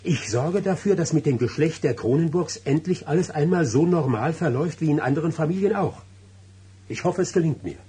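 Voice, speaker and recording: An actor playing a secretive, brooding, superstitious middle-aged husband in a German radio drama, grave and insistent in manner. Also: male voice, fairly high pitch, lively intonation, fairly quick, warm tone, breathy edge.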